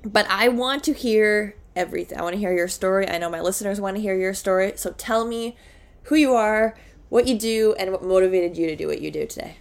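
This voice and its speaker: A woman's voice: medium pitch, fairly quick, vocal fry. A Canadian woman hosting a podcast, chatting casually and upbeat with plenty of fillers. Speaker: podcast host. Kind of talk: casual interview chat.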